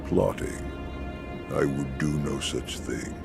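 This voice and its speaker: An actor playing a scheming old shinobi in a video game, slow and mock-courteous with a gravelly voice. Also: male voice, extremely low pitch, full character voice. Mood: sly menace. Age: older. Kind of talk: dramatic video game acting. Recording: music behind the voice.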